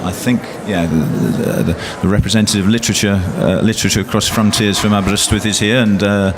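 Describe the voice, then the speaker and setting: Hesitant poet guy on a panel, lots of restarts, low voice, loud street noise. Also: a little fast. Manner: hesitant, many restarts and fillers. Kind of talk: panel discussion. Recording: loud traffic noise behind.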